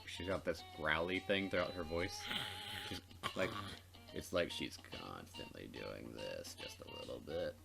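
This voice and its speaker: A man's voice: very deep voice, unhurried, gravelly, music in the background. A man doing a let's play, narrating dramatically and slipping into casual, jokey banter. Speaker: let's player reading a visual novel aloud.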